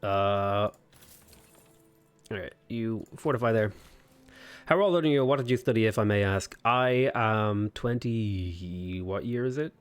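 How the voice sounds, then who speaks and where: A man's voice: on the low side, a little slow, smooth. A man in his twenties on a game livestream, casually chatting and joking while he reads viewer comments aloud. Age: 20s. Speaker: streamer.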